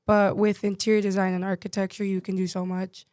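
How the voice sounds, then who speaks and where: A woman's voice: medium pitch, level tone, a little fast, a little mellow, smooth, dry recording, studio quality. A young woman, a design student, answering openly and thoughtfully about her future. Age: young adult. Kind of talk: interview answers.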